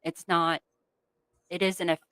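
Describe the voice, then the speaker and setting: Bright, low-pitched woman, halting delivery. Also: little pitch movement, clean tone, dry recording. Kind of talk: casual panel discussion.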